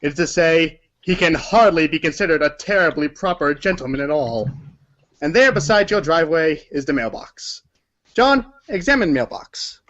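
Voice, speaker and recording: Higher-voiced man narrating, dull lo-fi sound. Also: expressive, moderate pace, a bit bright. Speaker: narrator of a group readers' theater. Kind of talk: read-aloud storybook narration.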